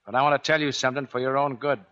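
Man acting a bright, forceful tough Navy chief. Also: mid-range voice, little pitch movement, racing, lightly acted. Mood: stern. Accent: American. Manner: gruff slangy straight talk. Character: tough, commanding American Navy chief petty officer. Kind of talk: 1940s radio drama acting. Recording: dull, band-limited 1940s radio recording.

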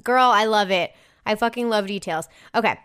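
A woman's voice: mid-range voice, moderate pace, a bit bright, clean tone. A young woman with a New Jersey American accent, a podcast host reading listener emails aloud and reacting in an effusive, slangy, casual way with some swearing. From New Jersey, United States.

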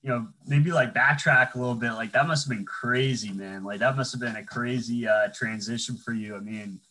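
A man's voice: mid-range voice, a little fast, bright, forceful tone, rough recording. Young male host, loose and rambling, filler-heavy casual delivery. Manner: rambling, filler-heavy, says "man" often. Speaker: interview host. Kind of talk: casual interview.

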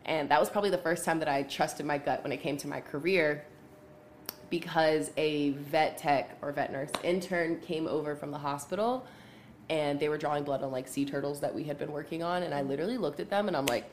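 Woman, 30s, low voice, podcast guest, casual, laughing, faint background noise. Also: fairly quick. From Pittsburgh area, United States. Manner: laughs often, many fillers like "um" and "like". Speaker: podcast guest, veterinary nurse.

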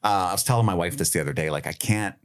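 A man co-hosting a podcast, talking in relaxed, rambling casual chat with jokes. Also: sits low, very fast, clear, resonant tone. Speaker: podcast co-host.

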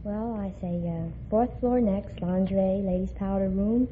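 A woman's voice: medium pitch, moderate pace. A woman with an American accent answering chattily as a contestant on a radio quiz. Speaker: quiz contestant, elevator operator. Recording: tinny, noisy vintage radio with audience.

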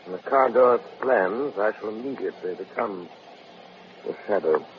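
Man as a low-voiced, assured gentleman sleuth. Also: dull audio, natural voice. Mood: determined, calm. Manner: measured, assured. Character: resolute, calm gentleman sleuth with secret identity. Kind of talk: old-time radio drama acting. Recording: band-limited vintage radio recording, faint noise.

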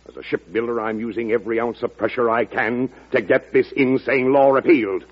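An actor playing a forceful man of affairs in an old-time radio drama, pressing his points emphatically. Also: medium pitch, fairly even intonation, a little fast, natural voice. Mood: angry, demanding. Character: blustering, forceful male businessman or government official. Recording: dull vintage radio recording.

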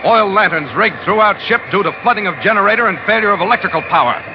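An actor playing a no-nonsense, harried ship captain who also narrates, curt and commanding, in old-time radio drama. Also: male voice, high voice, fast. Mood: terse, grave. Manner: curt, commanding, clipped log-style delivery. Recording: muffled vintage radio recording with music.

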